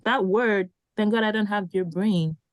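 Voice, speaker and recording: A woman's voice: medium pitch, unhurried, no room sound. A woman co-hosting a podcast, chatting animatedly and stumbling through "like" and restarts. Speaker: podcast co-host. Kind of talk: casual chat.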